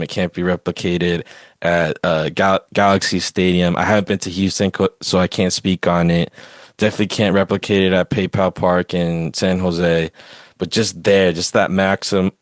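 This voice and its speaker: This is a man with a low voice, recorded close and dry. He hosts a casual sports podcast and gives his opinions in a rambling, conversational flow. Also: dry recording. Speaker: podcast host.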